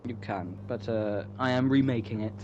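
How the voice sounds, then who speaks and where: A man's voice: medium pitch, moderate pace, a little mellow. Casual rambling man, muffled humming recording. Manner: self-interrupting, repetitive, joking, swearing casually. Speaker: writer promoting own online story. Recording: muffled audio with clear hum.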